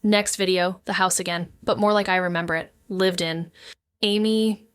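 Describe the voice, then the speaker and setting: A woman's voice: fairly low pitch, steady tone, normal speed, fairly bright tone, smooth, dry recording, natural voice. An actress playing a wounded, avoidant woman, narrating a noir audio drama in an introspective, brooding way. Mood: pained, haunted. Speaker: narrating character.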